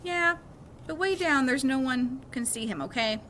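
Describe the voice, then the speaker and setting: Woman reading as a nervous schoolboy, earnest, questioning, higher pitch, storybook, noisy background. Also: lively intonation, normal speed, sharp tone. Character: anxious, eager young schoolboy talking to his mom.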